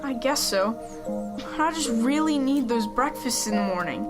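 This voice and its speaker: Guarded, proud schoolkid, young female actor: high-pitched, defensive, casual drama dialogue. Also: lively intonation, moderate pace, over loud music. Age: teens.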